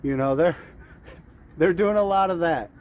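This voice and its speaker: A man's voice: on the high side, measured pace, darkish tone. A man giving an informal, off-the-cuff motivational talk as a trading coach, repeating phrases for emphasis. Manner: acts out traders' thoughts, repeats phrases for emphasis. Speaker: day trader giving trading tips. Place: outdoors in the heat.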